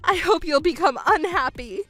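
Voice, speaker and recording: A woman's voice: extremely high pitch, animated, moderate pace, fairly bright tone, faint music behind. A woman voicing a hysterical, self-pitying middle-aged mother in a family drama, melodramatic, wailing and indignant. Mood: bitter fury. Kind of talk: acted dialogue in a narrated family drama.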